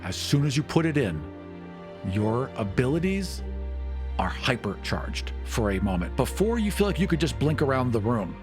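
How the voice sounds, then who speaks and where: A man narrating a tabletop game as game master, with emphatic, vivid and deliberate storytelling. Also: mid-range voice, sing-song. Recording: music bed behind the voice.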